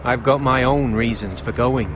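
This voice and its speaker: A man's voice: mid-range voice, normal speed, background noise, lightly acted. An actor playing a pragmatic, secretive male deserter in a video game drama, earnest and plain-spoken. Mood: resolute, confiding.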